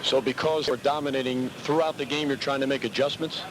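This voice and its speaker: A man's voice: mid-range voice, steady tone, a little fast. An American man working as a sports reporter, interviewing after a game on a noisy live radio broadcast from a dressing room.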